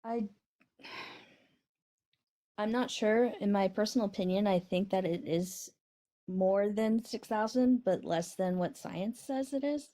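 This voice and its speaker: A woman in her forties with a high-pitched voice, a caller in a debate who answers hesitantly and doubts herself. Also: unhurried, pauses often, mellow. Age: 40s. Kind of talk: casual debate conversation.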